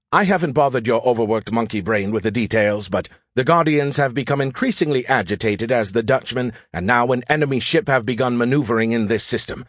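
Man voicing a snarky, arrogant artificial intelligence, swooping pitch. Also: no echo, clean studio sound, close to an everyday voice. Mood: exasperated. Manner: sarcastic, condescending, theatrical. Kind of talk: audiobook character voice.

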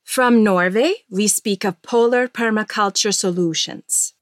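A woman's voice: mid-range voice, unhurried, dry recording. Woman, plain delivery, scripted roll call, dry close sound. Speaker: representative.

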